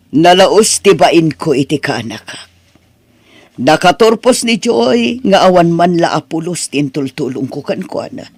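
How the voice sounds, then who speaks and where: A woman with an Ilocano Filipino accent playing a remorseful middle-aged aunt in an audio drama, pleading in a halting, emphatic way. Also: sits low, husky, no room sound, full character voice. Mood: ashamed. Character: remorseful older aunt who once belittled her niece.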